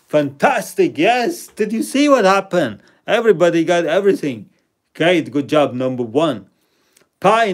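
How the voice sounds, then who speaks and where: A man, chatting playfully with viewers while he plays a word game on a live stream at home. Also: mid-range voice. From Latvia. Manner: playful, self-mocking, reads out chat names and rankings. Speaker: livestream host. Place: indoor room at home.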